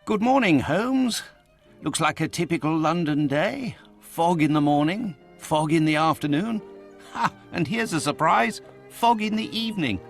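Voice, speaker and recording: An actor playing a genial, busy Victorian physician in a video game, chatty and formal in an old-fashioned way. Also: male voice, fairly high pitch, expressive, unhurried, vocal fry, faint music behind. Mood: chatty, exasperated. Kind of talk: video game cutscene acting.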